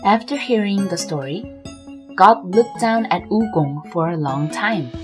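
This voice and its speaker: A woman reads a children's story as its narrator. Her voice is of middle pitch, and gentle background music plays beneath the scripted telling. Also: expressive, measured pace. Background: soft music.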